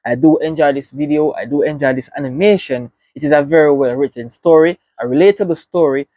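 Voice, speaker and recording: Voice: medium pitch, fairly quick, warm tone, no room sound. Earnest Jamaican reactor, dry close sound. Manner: earnest, reflective, leans on "right" and "wow". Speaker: reaction video host. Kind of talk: casual reaction commentary.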